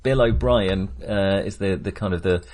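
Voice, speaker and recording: A man with a fairly low voice talks through a film as a podcast co-host. His delivery is casual and halting, feeling its way through each thought with a wry edge. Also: darkish tone.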